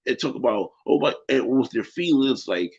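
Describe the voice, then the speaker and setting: A man's voice: mid-range voice, animated, normal speed, gravelly, darkish tone, breathy edge, muffled recording. A man hosting a casual gaming podcast, losing his voice and making his point emphatically, often asking "right?". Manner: emphatic, repeats himself, keeps asking "right?". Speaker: podcast host.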